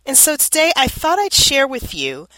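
A woman's voice: high-pitched, huge pitch swings, moderate pace, sharp tone, no echo, poor audio. A woman from Louisiana hosting her solo podcast in an upbeat, explanatory way, a little nasal and congested from allergies. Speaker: podcast host.

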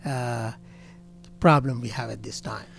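A man's voice: mid-range voice, steady tone, a little slow, vocal fry, faint music behind. A man who is a physician and medical association president, answering interview questions in short, direct statements. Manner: short, blunt statements, often opens with "see".

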